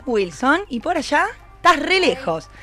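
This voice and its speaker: Woman, Buenos Aires Argentine accent, higher-pitched voice, chatty live radio host, joking, faint music behind. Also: sing-song, a little slow, bright, forceful tone. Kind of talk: casual live radio hosting. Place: radio studio. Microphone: studio microphone.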